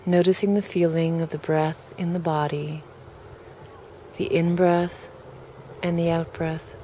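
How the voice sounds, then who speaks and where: Low-pitched, slow woman guiding a relaxation. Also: slight background noise. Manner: calm, gentle, deliberate phrasing with pauses. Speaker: guided relaxation leader. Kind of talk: guided meditation, soft spoken invitation.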